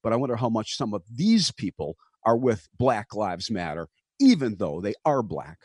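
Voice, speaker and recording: A man's voice: lowish voice, sing-song, moderate pace, a little mellow, husky, dry recording, clean studio sound. A man hosting a sports talk show, pressing his co-hosts with questions and reading out news items. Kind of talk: sports talk radio discussion.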